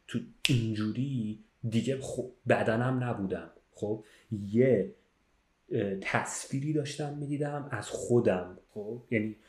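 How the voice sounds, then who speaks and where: A man telling a story casually in conversation, checking in with the listener as he goes. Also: mid-range voice. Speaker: podcast speaker telling a story. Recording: roomy, echoing sound.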